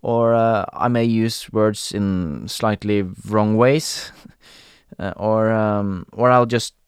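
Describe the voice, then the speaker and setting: A young man from Norway hosting a podcast in English with a Norwegian accent, talking casually with frequent pauses and fillers. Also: fairly low pitch, steady tone, smooth, no room sound, clean studio sound. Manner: hesitant, many fillers and restarts, laughs often. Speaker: podcast host. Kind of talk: casual solo podcast talk.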